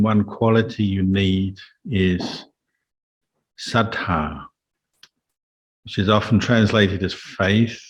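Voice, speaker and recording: Low, even-toned man guiding meditation. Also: breathy edge, low-quality recording. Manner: calm, measured, instructive. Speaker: Buddhist monk and meditation teacher. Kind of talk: guided meditation and Dhamma talk.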